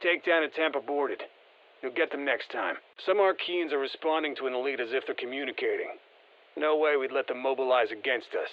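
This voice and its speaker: A man plays a seasoned special-forces operator from a video game, giving mission guidance in terse, matter-of-fact phrases. He sounds steady and encouraging, with a slightly dull edge to the audio. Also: mid-range voice, brisk, lightly acted. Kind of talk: scripted video game voice lines.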